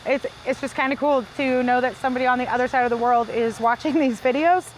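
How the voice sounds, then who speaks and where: A woman's voice: sits high, steady tone, fast talker, a little hiss or hum, rough recording. A woman from New Zealand who hosts a farm channel, reading viewer comments in an upbeat, chatty way. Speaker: farm owner and channel host.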